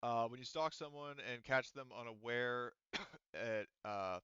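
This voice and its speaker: A man's voice: medium pitch, measured pace, a bit bright, clean tone, no room sound, highs cut off. A man running a tabletop roleplaying game as game master, casual and rambling with many fillers. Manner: rambling, lots of fillers and restarts.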